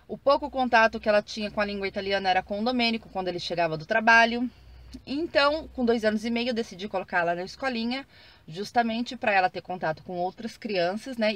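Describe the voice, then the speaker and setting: A woman's voice: mid-range voice, a bit bright, no room sound. A woman in her thirties from Brazil, with a Brazilian Portuguese accent, talking casually to her viewers in a chatty way with many fillers and restarts, recorded in her car. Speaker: vlogger. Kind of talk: casual vlog talk to viewers. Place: car while driving.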